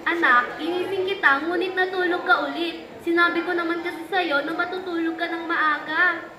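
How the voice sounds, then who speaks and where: A woman's voice: very high voice, fairly even intonation, moderate pace, noisy background. A woman playing a scolding but caring mother of a young schoolgirl in a puppet show, with a nagging manner. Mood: patient, resigned. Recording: echoey room.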